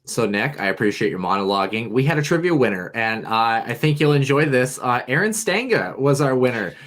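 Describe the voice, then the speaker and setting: A man with a whiny, nasal voice holds forth in relaxed sports banter. He talks in long, winding runs and keeps starting over. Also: brisk. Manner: rambling, animated, jumps in pitch. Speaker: podcast co-host. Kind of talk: casual sports chat.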